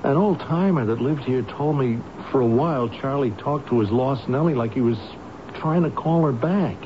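An actor playing an earnest, anxious, kindly staffer in a radio drama, hesitant and deferential. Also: male voice, medium pitch, normal speed, lightly acted. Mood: earnest, pleading. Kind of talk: old-time radio drama acting. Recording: dull, noisy radio drama recording.

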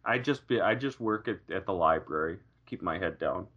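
Lowish voiced guy on a podcast, hedges a lot, bright tone, muffled lo-fi audio. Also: expressive, moderate pace. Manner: hesitant, hedging, restarts. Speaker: podcast co-host. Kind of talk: casual chat about a TV show.

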